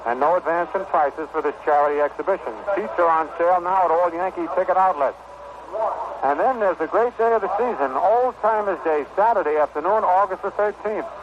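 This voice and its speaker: A man's voice: high-pitched, brisk. An American man calling baseball play-by-play in the style of 1960s radio commentary. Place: ballpark broadcast at a stadium. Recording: dull, noisy vintage radio broadcast.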